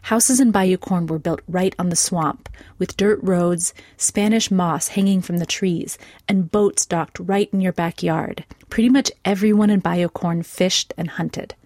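A woman reading scripted documentary narration in a measured, clear way. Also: on the low side, steady tone, darkish tone, no echo, studio quality.

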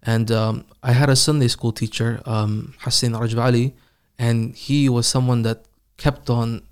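Flat-voiced man reflecting calmly. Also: mid-range voice, a little slow, clear, resonant tone. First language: not Arabic. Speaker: Quran reciter, guest. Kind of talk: casual interview.

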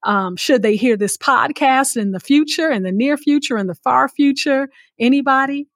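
A woman's voice: high voice, normal speed, dry recording, studio quality. A woman hosting a conversational podcast interview, affirming her guest and repeating phrases for emphasis. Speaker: podcast host.

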